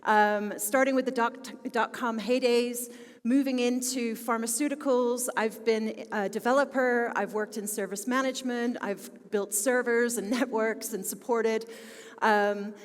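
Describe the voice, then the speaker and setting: A Philadelphia woman in her late forties gives an enthusiastic keynote with plenty of fillers. Her voice sits a little high. Long reverb from a large conference hall trails after her words. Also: steady tone, measured pace. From Philadelphia, United States. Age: 40s. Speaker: conference speaker.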